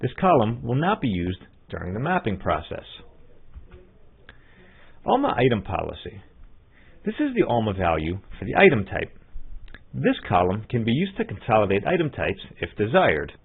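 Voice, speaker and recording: A man giving scripted step-by-step tutorial narration as a software trainer, in an instructional manner. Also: on the low side, very animated, moderate pace, vocal fry, long pauses, slightly rough. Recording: muffled narrow phone-like line.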